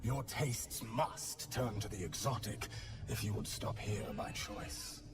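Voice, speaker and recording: An actor playing a serene, sinister priest devoted to pain in a video game, measured, unctuous and softly intense. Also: male voice, fairly low pitch, far from the mic, over music. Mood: courteous menace.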